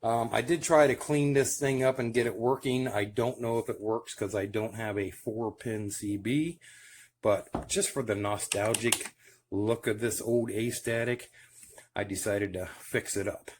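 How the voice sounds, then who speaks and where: A man's voice: lowish voice, fairly even intonation, measured pace, a little hiss or hum. An American man giving a casual show-and-tell narration as a hobbyist video host.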